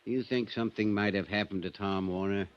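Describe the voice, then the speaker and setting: A male actor plays a grudging small-town constable with a fairly low voice. He talks plainly and folksily, in the manner of vintage radio drama. The recording is dull with faint noise. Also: fairly even intonation, brisk, lightly acted. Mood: reasonable. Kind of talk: 1950s radio drama acting.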